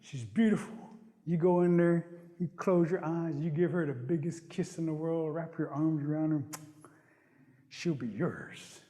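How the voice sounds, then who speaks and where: A man's voice: on the high side, fairly even intonation, moderate pace, dark tone, big-room sound, close to an everyday voice. A man voicing a glib, scheming rabbit in an animal folktale, giving short, punchy orders and promises. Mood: coaxing. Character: brisk, glib, scheming male rabbit messenger.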